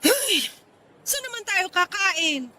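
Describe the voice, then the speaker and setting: Sharp, high-pitched irritable girlfriend. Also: very animated, takes it slowly, slight background noise, full character voice. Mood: fed up, sulky. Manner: sulky, scolding, sarcastic. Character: irritable, fed-up young girlfriend snapping at her boyfriend. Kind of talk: radio drama acting.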